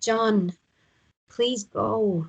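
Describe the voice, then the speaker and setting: A woman voicing a frail, ailing wife in a drama script read, gently pleading. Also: mid-range voice, fairly even intonation, extremely slow, gravelly, breathy edge, muffled recording, full character voice. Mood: weak, wistful.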